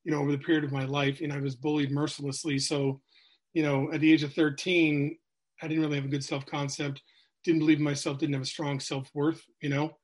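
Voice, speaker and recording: A man's voice: fairly high pitch, flat delivery, brisk, breathy edge. Candid middle-aged New man. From New Hampshire, USA. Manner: candid, rambling with many fillers. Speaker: guest, sales coach. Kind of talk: podcast interview storytelling.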